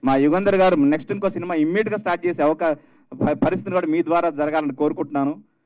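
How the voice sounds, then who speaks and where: A man giving an informal, earnest speech at an event, halting and repeating phrases in a dull recording. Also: on the high side. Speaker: guest speaker at a film launch.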